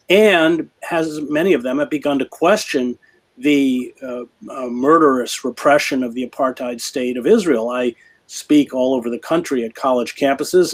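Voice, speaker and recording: A man answering an interviewer's questions as a journalist guest, speaking in a grave, measured way with many fillers and restarts. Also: medium pitch.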